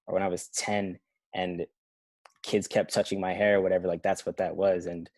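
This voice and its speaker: A man's voice: low-pitched, flat delivery, normal speed, a little mellow, no echo. A young man in a casual group discussion, speaking reflectively and hesitantly with many ums. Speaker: participant.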